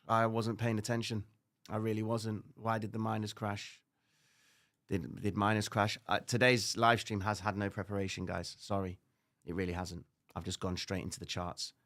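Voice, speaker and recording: A man with a Cypriot accent hosting a live trading stream, talking straight to viewers with frequent tag questions. He jumps between loud, animated lecturing and low, muttered asides. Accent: Cypriot English. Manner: casual, frequent "all right?" tag questions, occasional swearing. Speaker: livestream trading host. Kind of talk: live stream chat reading viewer comments.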